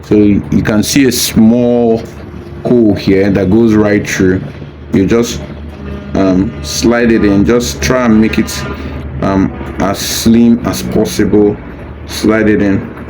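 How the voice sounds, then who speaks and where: A man's voice: fairly low pitch, fairly even intonation, takes it slowly. A man patiently walking through a hands-on unboxing tutorial, casual and reassuring, repeating his steps as he goes. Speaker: product demonstrator. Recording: faint background noise.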